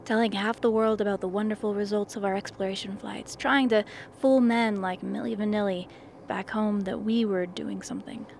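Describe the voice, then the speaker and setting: Radio play commander, actress: weary, troubled woman in charge, medium pitch, faint noise behind. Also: steady tone, normal speed, a little mellow, lightly acted. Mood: rueful. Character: worried, weary expedition commander.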